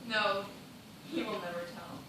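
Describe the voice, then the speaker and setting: A woman's voice: mid-range voice, fairly even intonation, a little slow, lightly acted. A woman acting a shipwrecked dancer in a stage play, fearful, weary and haunted, with formal, dramatic delivery. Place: stage in a theatre or hall. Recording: distant, echoey, noisy live theatre recording.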